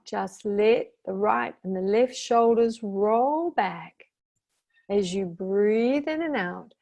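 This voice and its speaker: A woman guiding a meditation as a teacher, speaking soothingly and gently in short phrases with long pauses. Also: mid-range voice. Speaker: guided meditation teacher and intuitive therapist. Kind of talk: guided meditation, slow calming instruction.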